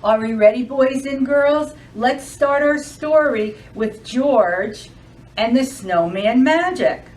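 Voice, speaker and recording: A woman hosting a children's storytime, reading aloud and addressing young kids in an expressive, sing-song, deliberate way. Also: high-pitched, recorded from a distance, faint music behind, low-quality recording. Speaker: library storytime host.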